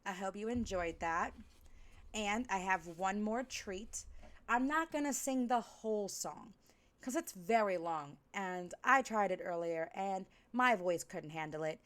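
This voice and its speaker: A woman reading stories aloud and chatting in an expressive, theatrical way as a live storyteller. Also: mid-range voice, a little slow.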